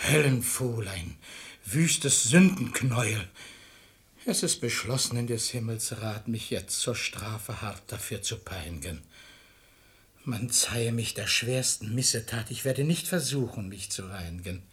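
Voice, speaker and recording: Slow-speaking, unctuous hypocrite. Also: male voice, fairly low pitch, animated, a bit bright. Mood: mock contrite. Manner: unctuous, theatrical, declaiming in verse. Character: sanctimonious lustful hypocrite man, feigning humble penitence. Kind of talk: German radio play of classical verse comedy.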